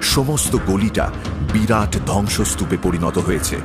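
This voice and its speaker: A man's voice: lowish voice, animated, normal speed. A man reading a scripted story in a dramatic narrating style. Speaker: narrator of an audio horror and adventure story. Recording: loud music bed under the voice.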